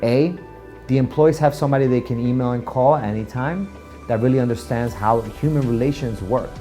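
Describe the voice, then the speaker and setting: A man's voice: medium pitch, a little fast, mellow, faint music behind. A man giving informal business advice as a practice owner on a video channel, emphatic and often checking in with rhetorical questions.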